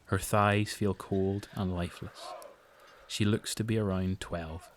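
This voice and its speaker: Low-voiced Northern Irish male narrator. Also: steady tone, unhurried. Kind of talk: scripted dramatic narration. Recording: noticeable background noise.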